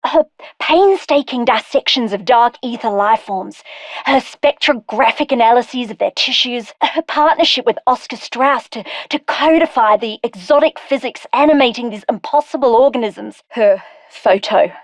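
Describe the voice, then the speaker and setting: An actress playing a brilliant, wry and determined scientist in a video game, speaking articulately in scripted lines and journals. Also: female voice, fairly high pitch, normal speed, no room sound, natural voice, low-quality recording. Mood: troubled, confiding. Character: brilliant wry female scientist, guilt-ridden, urgent and determined. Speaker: scientist character.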